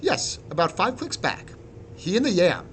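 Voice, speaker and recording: A man's voice: high-pitched, sing-song, normal speed, a little hiss or hum, pitched above the performer's own voice. A man voicing a chatty, moralizing admiral in a war story, with a wry, pointed way of talking. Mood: informative. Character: chatty, moralizing male admiral, a nut soldier.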